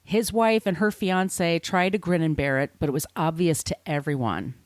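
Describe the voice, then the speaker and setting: A woman's voice: on the low side, moderate pace, dry recording. An American woman hosting a podcast, telling a story from notes conversationally, with informal, wry asides. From United States. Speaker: podcast host.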